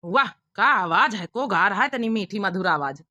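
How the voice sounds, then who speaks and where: A woman's voice: medium pitch, animated, moderate pace, bright, forceful tone, no echo. A woman voicing an eager, lovestruck young village bachelor with an Awadhi accent in a comedy cartoon. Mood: smitten, gushing.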